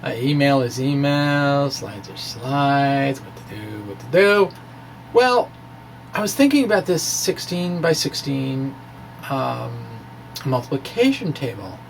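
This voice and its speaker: Slow, creaky-voiced man. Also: medium pitch, slight background noise, rough recording. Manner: hesitant, self-deprecating, animated asides. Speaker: physics blogger presenting his own work. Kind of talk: informal technical ramble, reading of own blog.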